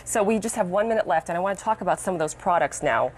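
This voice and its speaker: Lowish-pitched American woman news anchor. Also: lively intonation, fast. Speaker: news anchor interviewing a guest. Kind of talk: broadcast news presenting and interviewing. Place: busy TV newsroom studio. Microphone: lapel mic.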